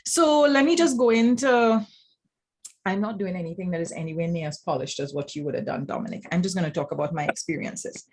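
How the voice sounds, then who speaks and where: A woman's voice: lowish voice, fairly quick, darkish tone. A woman with a Trinidadian accent speaking as a lecturer at an informal roundtable, explaining reflectively and often checking in with "right?". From Trinidad and Tobago. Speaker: academic writing lecturer, panel speaker.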